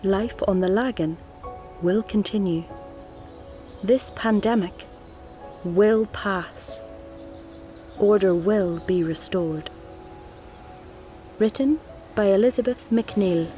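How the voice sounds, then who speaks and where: A woman reading a literary story aloud in a measured, deliberate way, as a scripted narration. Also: medium pitch, dark tone, soft background music. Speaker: actor reading a nominated story.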